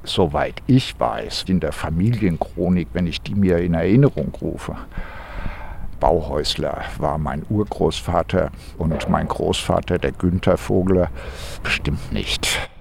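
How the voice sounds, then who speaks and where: A man with a Hessian accent talks in a low, gravelly voice, pausing to think as he goes. He is recorded outdoors in a park. A faint hiss sits under the recording. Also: a little mellow. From Frankfurt, Germany. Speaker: architect, interview guest. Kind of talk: casual outdoor conversation.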